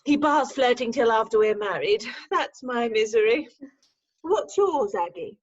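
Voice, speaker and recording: A muffled call recording of a woman playing an arch young lady in a Victorian farce. Her high, slightly husky voice moves up and down freely, breezy and teasing. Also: a little slow. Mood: breezy, amused. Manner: arch, amused, expressive. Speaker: actor in an amateur staged reading. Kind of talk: Victorian farce stage acting. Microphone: video call.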